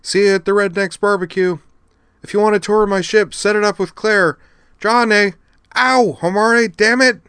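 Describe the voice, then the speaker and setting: A man voicing a brash, boisterous woman fleet admiral who shouts and jokes. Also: medium pitch, very animated, a little slow, dry recording, voice pushed up. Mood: protesting.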